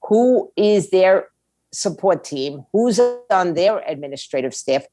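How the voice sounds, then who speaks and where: A woman's voice: fairly low pitch, lively intonation, slow. A middle-aged woman giving candid, plain-spoken advice as an expert guest in a conversational interview. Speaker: guest, music industry attorney.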